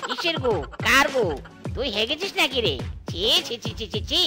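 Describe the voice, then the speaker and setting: A woman's voice: high voice, very animated, moderate pace. A woman doing a crude sneering thief. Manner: rude, sneering, swearing. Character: crude mocking male thief. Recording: cartoon music behind.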